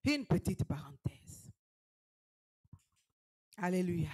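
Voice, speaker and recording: Woman evangelist, slow deliberate delivery, fervent sermon with hushed and shouted passages. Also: lowish voice, sing-song, slightly rough. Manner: fervent preaching, swings from hushed whispers to shouted exhortations. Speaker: evangelist preacher.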